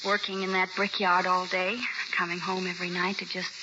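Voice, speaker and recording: Actress as a devoted young wife: soft and affectionate, 1940s radio drama, lo-fi with hiss. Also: female voice, on the low side, monotone, fairly quick, natural voice. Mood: loving. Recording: old 1940s radio broadcast, hissy and dull.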